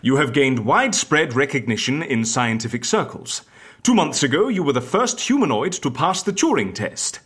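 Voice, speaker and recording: A man playing a genial, slightly bumbling agency interviewer in an audio comedy drama, polite and musing with a husky voice. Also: mid-range voice, sing-song, moderate pace, fairly bright tone. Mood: genial, wry.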